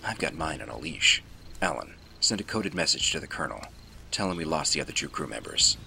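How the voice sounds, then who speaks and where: A man playing a gruff, weary veteran special-forces soldier with a gravelly voice and a terse, commanding manner in an audio drama. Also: very deep voice, big pitch swings, a little fast, sharp tone, a little hiss or hum, heavily acted, low-quality recording. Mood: gruff, curt.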